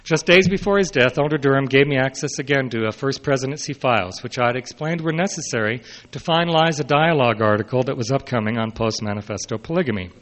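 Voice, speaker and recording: Male, middle-aged or older, medium pitch, measured delivery of a written autobiographical talk with occasional off-script asides. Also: a bit bright. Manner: measured, announces quote and unquote, brief unscripted asides. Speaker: historian giving a talk. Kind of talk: reading a prepared autobiographical speech aloud.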